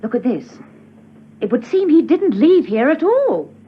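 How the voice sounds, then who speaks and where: A woman's voice: high-pitched, big pitch swings, unhurried, muffled recording. An actress playing a cool, teasing, nonchalant female spy in a radio drama, with a dry, wry manner. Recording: band-limited, noisy old radio recording.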